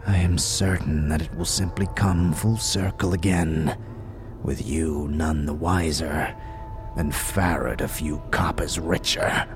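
A man voicing an old, dying, weary scribe in an audio drama, frail and breathy, speaking slowly and solemnly in archaic phrasing. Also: very deep voice, steady tone, music in the background. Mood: solemn warning. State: sickly, coughing.

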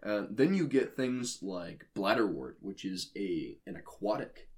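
A man with a fairly low voice co-hosts a casual, comedic podcast. He explains things conversationally, with joking asides. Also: expressive, measured pace, darkish tone. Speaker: podcast co-host.

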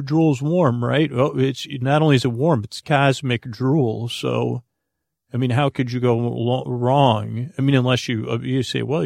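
A man hosting a bedtime podcast with a creaky voice, rambling in a halting, goofy, improvised monologue that meanders into tangents. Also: medium pitch, dry recording, clean studio sound. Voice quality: gravelly, creaky. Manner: goofy, whimsical. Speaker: podcast host.

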